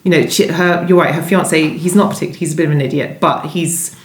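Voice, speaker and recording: A woman's voice: deep voice, steady tone, fast. A woman, a novelist being interviewed, answering conversationally and thinking aloud as she rephrases. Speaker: novelist being interviewed about her book. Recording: roomy, echoing indoor sound.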